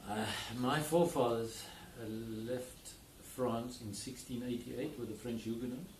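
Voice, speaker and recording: South African man, Afrikaans native, estate owner explaining at an easy pace; mid-pitched, recorded from a distance with clear background noise. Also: darkish tone, roomy sound. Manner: unhurried, explanatory, with many fillers. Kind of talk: casual interview.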